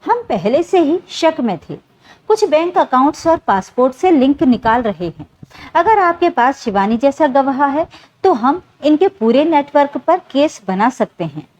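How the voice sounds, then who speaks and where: A woman voicing a steady male police inspector in a story, firm and reassuring. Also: sits high, unhurried, muffled recording. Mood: serious.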